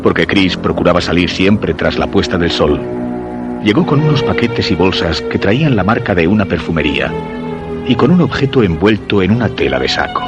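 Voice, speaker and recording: Deep-voiced, ailing, fearful husband. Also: male voice, lively intonation. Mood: solemn, uneasy. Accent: Castilian Spanish. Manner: measured, literary, solemn storytelling. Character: weak, ailing, fearful husband narrating his suspense tale. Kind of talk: radio drama narration and dialogue. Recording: voice over background music.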